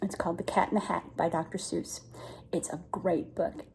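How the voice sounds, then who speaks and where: A woman's voice: on the low side, steady tone, moderate pace, vocal fry, a little mellow, slight background noise. An older woman from the United States reading a storybook aloud to young children, expressively, with chatty asides.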